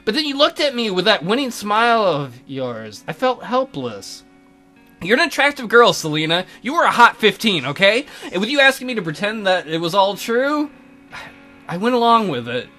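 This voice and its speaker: Visual novel tutor, acted by a man: awkward, exasperated, highish and forceful, pleading his case. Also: very animated, normal speed, faint music behind, heavily acted. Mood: flustered, pleading.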